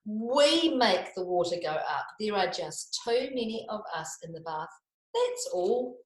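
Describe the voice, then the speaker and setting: Woman putting on a bossy old storybook inventor, loud and slow, swooping delivery, roomy echo. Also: mid-range voice, big pitch swings. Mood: elated. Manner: loud, slow, emphatic shouting. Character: excitable bossy old male inventor in a picture book.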